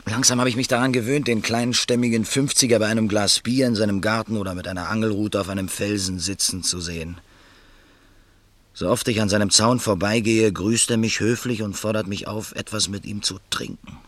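Very deep-voiced male narrator. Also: fairly even intonation, fairly quick, fairly bright tone, no room sound. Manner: hesitant, nervous in dialogue. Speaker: narrator and lead in a radio play. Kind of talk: first-person literary narration and acting.

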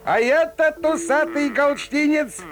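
A man's voice: very high voice, measured pace. A man narrating a comic fairy tale as a theatrical, folksy storyteller with rustic dialect phrasing. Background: loud music.

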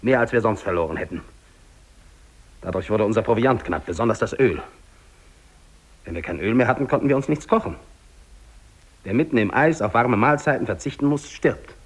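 A man's voice: medium pitch, normal speed, long pauses, close to an everyday voice. A male actor playing a lone, dying English polar explorer in a 1950s German radio drama monologue, theatrical, defiant and despairing, moving between muttering and declaiming. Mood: accusing, anguished.

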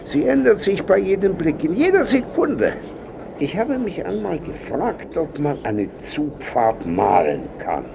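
An actor playing an imperious, proud old painter who lectures and grumbles in a peremptory way in a radio drama. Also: male voice, high-pitched, huge pitch swings, unhurried, creaky, lo-fi sound, background noise, heavily acted. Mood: musing, lecturing. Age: older.

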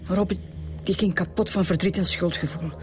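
A woman's voice: lowish voice, fairly even intonation, moderate pace, a little mellow, faint music behind, close to an everyday voice. An actress playing a grieving, earnest middle-aged Flemish mother in a radio drama. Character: grieving, earnest mother pleading for her son.

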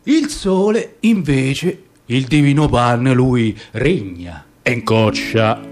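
Slow, lyrical man. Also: a little high. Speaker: radio theatre performer. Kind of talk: dramatic poetic monologue.

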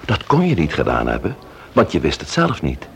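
An actor playing a shrewd, courteous police investigator in a Dutch radio play, speaking deliberately and questioning with emphasis. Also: male voice, rumbling low, huge pitch swings, creaky, full character voice. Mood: explaining.

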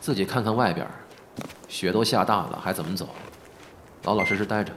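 A man's voice: deep voice, brisk, background noise. A man playing a teasing, secretly caring big-brother entertainer in a Chinese audio drama, languid and grumbling yet indulgent. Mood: languid, bossy. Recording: music behind the voice.

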